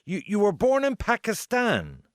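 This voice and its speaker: A man's voice: high voice, wildly swooping pitch, unhurried, no room sound, studio quality. A man with an Irish accent hosting a conversational radio interview, probing in a chatty way with questions piled up.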